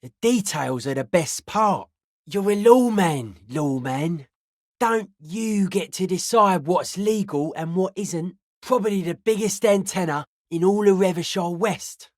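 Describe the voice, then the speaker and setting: A man's voice: high-pitched, expressive, unhurried, dry recording, clean studio sound. A man voicing a wary, blunt young raver and tech tinkerer in a video game, slangy, clipped and wry. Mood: keen, matter-of-fact. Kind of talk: video game character dialogue.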